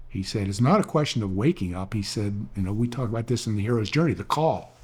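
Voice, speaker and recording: A man's voice: fairly low pitch, animated, fast, a little mellow, husky. An older man, an author, telling rambling, earnest stories in a reflective talk, often restarting his sentences. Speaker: author and speaker.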